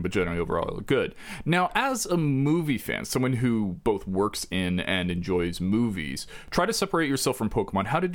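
A man co-hosting a podcast, leading the casual chat and asking his co-host questions. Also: lowish voice. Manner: leads the talk, asks questions, animated.

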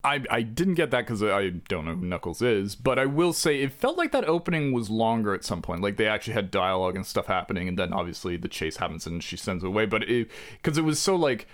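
A man co-hosting a podcast who reviews a movie in a chatty, animated way and often corrects himself. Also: on the low side, quick pace. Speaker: podcast co-host. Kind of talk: casual movie review chat.

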